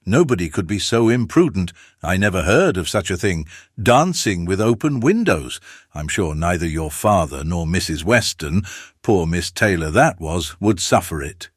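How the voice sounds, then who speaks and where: A man acts out a fretful, easily alarmed old gentleman with a British accent. His voice is deep and a little unclear, courteous yet fussing, recorded dry for a period audiobook drama. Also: sing-song, moderate pace, breathy edge, dry recording, full character voice. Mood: alarmed, disapproving. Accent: British English. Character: fussy, anxious, easily alarmed elderly gentleman father.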